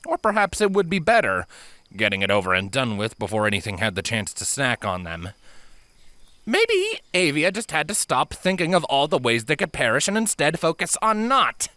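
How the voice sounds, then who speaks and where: An audiobook narrator delivers scripted fantasy prose with drama. The voice is bright and expressive in pitch, captured in a dry recording. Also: moderate pace, dry recording.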